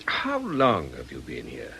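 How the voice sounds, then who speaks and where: A man's voice: rumbling low, huge pitch swings, a little slow, gravelly, pushed and bright, slight background noise, exaggerated voice. A man playing a concerned, probing middle-aged old friend in a radio drama, earnest and questioning.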